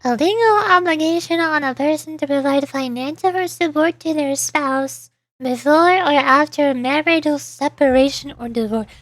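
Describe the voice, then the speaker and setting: High-voiced, playful woman streaming a game. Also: normal speed, dry recording, faint music behind, low-quality recording. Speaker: VTuber streamer. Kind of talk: casual game streaming chat, reading text aloud.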